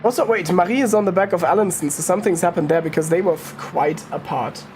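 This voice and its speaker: A woman's voice: on the low side, fast talker, a little mellow, a little hiss or hum. A woman giving casual live commentary on a sim race, thinking aloud and correcting herself as she goes. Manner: informal, thinking aloud, hesitant self-correcting.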